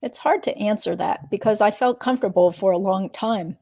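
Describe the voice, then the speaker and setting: Woman playing a deposition witness, dark relaxed timbre, medium pitch, guarded, measured, noncommittal. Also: vocal fry. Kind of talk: dramatic reading of a legal deposition.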